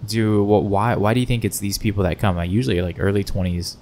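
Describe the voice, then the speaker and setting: A man's voice: steady tone, fast, darkish tone. A man hosting a casual podcast interview, speaking into a close microphone in a bar lounge. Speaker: podcast host. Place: wood-panelled bar lounge, indoors. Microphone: close podcast microphone on stand. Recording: low rumble and faint room noise.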